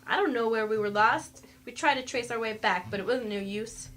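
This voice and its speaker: A brazen little lady crook, acted by a woman, talks in slangy, offhand phrases in a radio mystery. Her voice sits fairly high. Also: fairly quick, fairly bright tone, lightly acted. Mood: feigned innocence. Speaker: amateur voice actor in an audio mystery. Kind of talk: scripted radio mystery acting.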